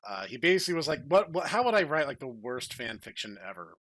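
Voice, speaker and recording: A man's voice: mid-range voice, sing-song, fast, a bit bright, no echo. A man hosting a podcast, talking in a rambling, casual way and reading stories aloud. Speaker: podcast host and story narrator. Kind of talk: casual chat and dramatic reading.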